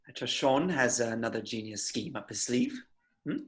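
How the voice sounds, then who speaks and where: A man acts out a sceptical, sarcastic complainer in a zombie comedy script read. The delivery swings up and down in pitch, whiny and critical. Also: animated, normal speed, a bit bright, lightly acted. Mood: sarcastic, complaining. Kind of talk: amateur table read of a film script.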